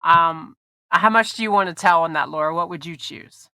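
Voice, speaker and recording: A woman's voice: on the low side, moderate pace, sharp tone, no room sound. A woman hosting a podcast in casual chat, a bit congested, with lots of ums, restarts and laughs. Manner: chatty, laughs often, frequent ums and restarts. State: congested from allergies. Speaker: podcast host.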